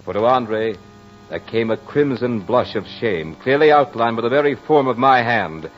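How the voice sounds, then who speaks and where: An actor playing a brooding aristocratic courtier in an old radio drama, telling his tale in a measured, florid, dramatic way. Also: medium pitch, expressive, soft background music, natural voice. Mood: intense, bitter. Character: brooding aristocratic French courtier recounting a fatal duel. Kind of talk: 1940s radio drama monologue. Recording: muffled old radio recording.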